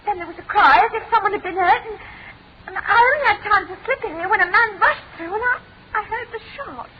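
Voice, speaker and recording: A woman's voice: extremely high pitch, fairly quick, crowd noise. A woman playing a frightened, defensive young woman in a radio drama, with a breathless, stammering manner. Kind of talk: British radio drama acting. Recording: tinny, noisy old radio recording.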